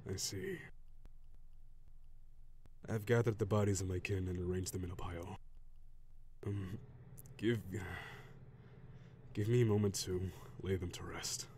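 A man voicing a proud, gruff tsundere dragon warrior in an audio roleplay, brusque and defensive with grudging sighs. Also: sits low, darkish tone, a little hiss or hum. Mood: grave, gruff.

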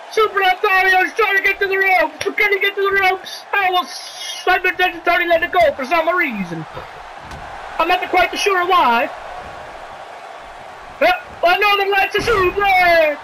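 Over clear background noise comes an extremely high-pitched, excitable wrestling commentator with an Italian accent, a man doing a comic act. He calls the action in bursts of exclamation, bumbling and tripping over his own play-by-play. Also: a little slow. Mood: animated, bumbling.

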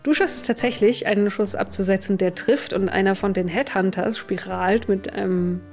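A woman's voice: medium pitch, normal speed, a little mellow, soft background music. A woman in her thirties narrating a tabletop game as game master.

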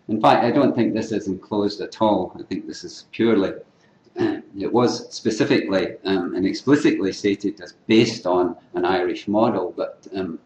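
An older Scottish man giving an unscripted academic lecture at a conference, often clearing his throat and restarting phrases. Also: lowish voice, darkish tone. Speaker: keynote lecturer in archaeology. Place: lecture hall. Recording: echoey lecture hall.

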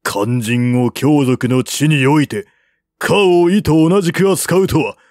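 A man's voice: fairly high pitch, takes it slowly, darkish tone, no room sound, studio quality. Man reading as a proud, defiant ancient general. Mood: stern, demanding. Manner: blunt, formal, martial speech. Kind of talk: audiobook reading of historical novel dialogue.

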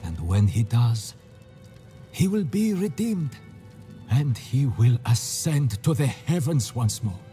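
An actor playing a devout, solemn follower of a holy order in video game dialogue, reverent and measured. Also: male voice, mid-range voice, big pitch swings, dark tone, soft background music. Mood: zealous.

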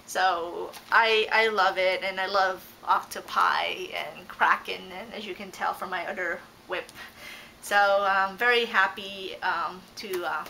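A woman's voice: mid-range voice, vocal fry, sharp tone, a little hiss or hum, rough recording. A young woman whose first language is Dutch, chatting casually on her couch as she shows off her craft haul, rambling with many ums and self-corrections. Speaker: cross-stitch vlogger. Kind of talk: casual show-and-tell haul. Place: living room couch, indoors. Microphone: fixed camera at close range.

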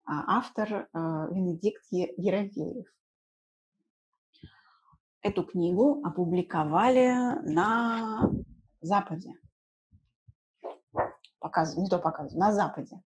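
A woman's voice: fairly low pitch, slow, long pauses, slightly rough, poor audio. A woman in her thirties teaching an informal lesson in simple words, repeating key words and adding short check questions. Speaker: language teacher. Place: small room indoors at home.

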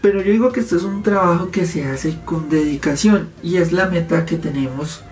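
Male host in his thirties, fairly high voice, explaining to camera from his home office. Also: normal speed, faint music behind. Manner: explanatory, gesturing with his hands. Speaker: YouTube host. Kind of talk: casual commentary to camera. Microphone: fixed camera, medium framing.

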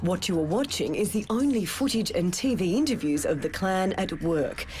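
A woman reporter reading a scripted current-affairs voice-over in a measured, dramatic storytelling way. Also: on the low side, animated, a little mellow. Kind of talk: scripted news narration. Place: studio voice-over.

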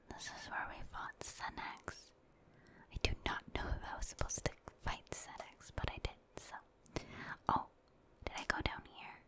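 A woman doing ASMR gameplay commentary in a soft, breathy whisper, unhurriedly musing aloud and casually talking to her viewers. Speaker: game streamer, ASMR creator.

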